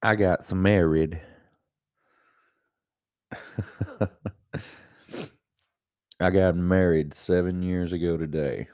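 A man's voice: deep voice, steady tone, extremely slow, pauses often, darkish tone, no room sound, studio quality. A man hosting his own podcast, stuffed up with allergies, talking casually and rambling, often repeating himself. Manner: rambling, repeats himself, self-deprecating laughs. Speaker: podcast host. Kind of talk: casual solo podcast monologue.

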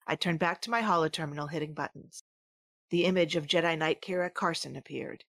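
A defiant female space commander with a low, gravelly voice , played by an actress. She has a fierce temper and speaks in scripted drama style, recorded close and dry. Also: steady tone, a little fast, fairly bright tone, no room sound. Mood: brooding, determined. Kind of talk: first-person scripted audio drama narration and dialogue.

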